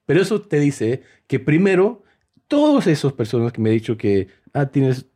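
A man's voice: mid-range voice, big pitch swings, normal speed, warm tone, breathy edge. A man, native in English, telling casual stories in Spanish with a mixed Peruvian, Spain and Mexican accent, acting out dialogue. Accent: mixed Spanish with Peruvian, Spain and Mexican influences. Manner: acts out dialogue while telling anecdotes. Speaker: host. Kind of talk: casual conversational storytelling.

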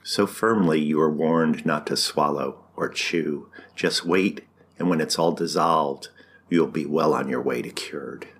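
A man with an extremely low, deep voice reads poetry aloud. He speaks as a poet presenting his own work, moving between introduction and verse. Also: measured pace, fairly bright tone. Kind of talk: poetry reading with spoken introduction.

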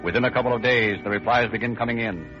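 A man plays an earnest American narrator of a vintage radio crime story, reading rapidly at a middle pitch. The sound is muffled, with faint music. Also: natural voice. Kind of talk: old-time radio scripted narration. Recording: muffled old radio recording.

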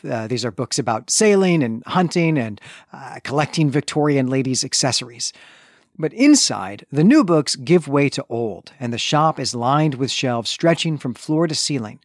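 A man's voice: mid-range voice, no echo, studio quality. A middle-aged man co-hosting a podcast, giving a conversational literary recap in an enthusiastic, emphatic way. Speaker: podcast co-host. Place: basement room, close and dry.

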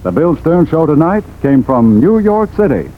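A man's voice: a little high, big pitch swings, moderate pace, slight background noise. An American man announcing commercials on 1940s radio, with a punchy, emphatic salesman's delivery. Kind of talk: 1940s radio advertisement, scripted pitch. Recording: old 1940s radio, narrow band.

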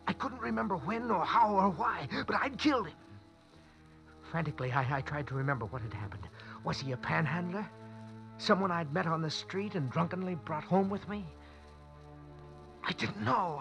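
An actor playing an anxious young lawyer, narrating tensely in first person in a 1940s radio drama. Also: male voice, fairly high pitch. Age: young adult. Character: panicked young lawyer who wakes beside a corpse. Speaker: narrator and lead. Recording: muffled old radio broadcast with music.

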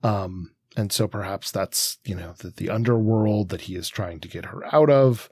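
Male podcast co-host, low-pitched, analytical and conversational, halting delivery, very dry sound. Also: darkish tone, breathy edge, no room sound. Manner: halting, pausing and circling as he thinks aloud. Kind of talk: conversational comics analysis, sometimes reading quotes.

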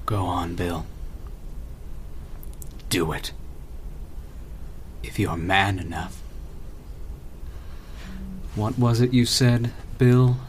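A man playing a cold, imperious tyrant in an audio drama, pompous, deliberate and contemptuous. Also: deep voice, a little mellow, a little hiss or hum.

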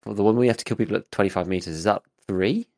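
A man co-hosting a gaming podcast in casual chat, with a slight gravel in his voice, rambling with lots of fillers. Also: on the low side, very fast, darkish tone. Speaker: podcast co-host, gaming YouTuber.

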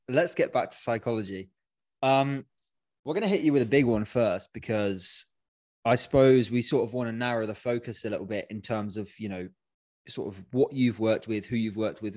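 A man co-hosting a casual podcast, speaking in a lowish voice. He is friendly and laid back as he poses questions to a guest. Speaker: podcast co-host.

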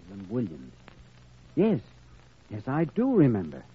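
An actor playing an earnest, quietly firm young male doctor with measured delivery in old-time radio drama. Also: mid-range voice, wildly swooping pitch, husky, full character voice. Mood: thoughtful. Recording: muffled, noisy old radio recording.

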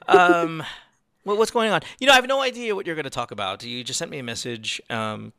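A Filipino man hosting a call-in podcast, his voice bright and gravelly with a slight cough. He swings between excited bursts and hushed asides, and the sound is close and dry. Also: on the high side, a little fast, no echo. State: has a cough. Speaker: podcast host. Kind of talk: casual call-in chat.

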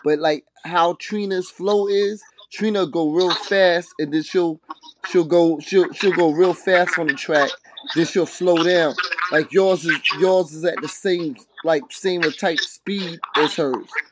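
Slangy man hosting a hip-hop interview, higher-pitched. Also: fairly even intonation, slow, background noise. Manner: slangy, repeats words and phrases. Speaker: podcast host interviewer.

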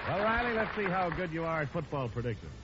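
A man's voice: fairly high pitch, big pitch swings, fast, a loud crowd, very noisy. A man with an American accent working as a radio announcer, reading in a polished 1940s commercial style. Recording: dull old radio recording.

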